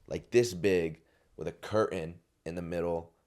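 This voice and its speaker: Very deep-voiced young man telling a story. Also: fairly even intonation, a little slow, a little mellow. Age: 20s. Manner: rambling, many restarts and fillers. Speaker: podcast guest. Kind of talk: casual storytelling. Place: podcast studio.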